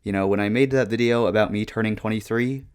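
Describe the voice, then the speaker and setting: A man in his twenties talking casually and reflectively over gameplay, in a deadpan monotone with lots of "like" and "um". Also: on the low side, fast, clear, resonant tone. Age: 20s. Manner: deadpan monotone with many fillers. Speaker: YouTuber.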